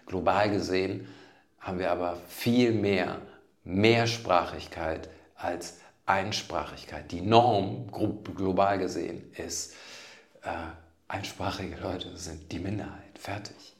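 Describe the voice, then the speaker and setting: A man in his fifties talks in a deep voice with a gravelly edge, unhurried and reflective. He pauses and restarts sentences as he thinks aloud. The recording has a noticeable room echo. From Germany. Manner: thoughtful, halting, restarts phrases. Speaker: guest writer. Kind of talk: reflective conversation. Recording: roomy echo.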